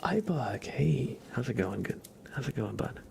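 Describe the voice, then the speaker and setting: A man chatting casually on an ASMR livestream in a soft, often whispered voice, relaxed and rambling, close to the microphone. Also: mid-range voice, unhurried, gravelly, a little hiss or hum. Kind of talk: ASMR, casual chat with viewers.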